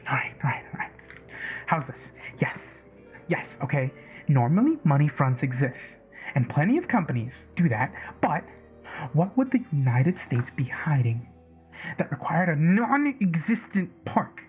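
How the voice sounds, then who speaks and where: Male actor as obsessive late-night caller, agitated, stammering, repetitive, lively pitch, thin phone-like audio, faint music. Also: normal speed. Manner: stammering, repetitive, profane rambling. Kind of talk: audio drama acted as casual talk radio. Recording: tinny phone-like line with background music.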